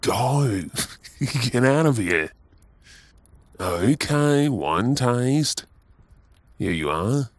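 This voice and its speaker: An affectionate, caring Australian husband, acted by a man in an intimate ASMR roleplay. He talks very slowly and softly, with a lively, moving pitch and a playful, teasing streak. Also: dry recording.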